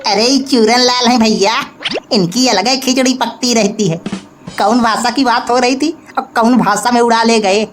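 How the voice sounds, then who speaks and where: A man's voice: very high voice, fairly even intonation, normal speed, over music. A man voicing an opinionated, exasperated male friend in a comedy cartoon, grumbling and ranting in earnest. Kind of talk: Hindi comedy cartoon dialogue.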